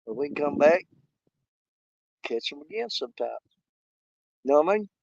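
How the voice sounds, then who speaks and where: A man hosting a fishing live stream outdoors at a small lake, hoarse and losing his voice, rambling in a folksy way straight to his viewers. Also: on the high side, animated, normal speed, darkish tone, no room sound, dull audio. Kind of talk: casual live-stream chat.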